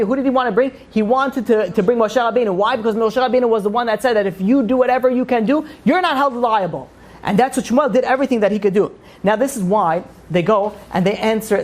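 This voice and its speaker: A woman's voice: fairly high pitch, fast talker, darkish tone. A woman giving an informal religious lecture to a group, emphatically asking questions and answering them herself. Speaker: religious class teacher.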